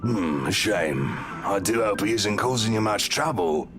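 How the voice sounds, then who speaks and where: Deep, smug, flirtatious police inspector. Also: male voice, lively intonation, measured pace, music in the background. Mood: casually teasing. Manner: suave, teasing, overfamiliar. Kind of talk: visual novel game voice acting.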